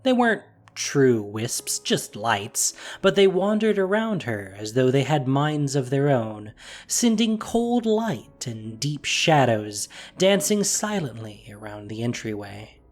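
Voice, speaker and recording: A man reading a fantasy story aloud in a measured, expressive way. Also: mid-range voice. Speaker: narrator. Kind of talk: storybook narration.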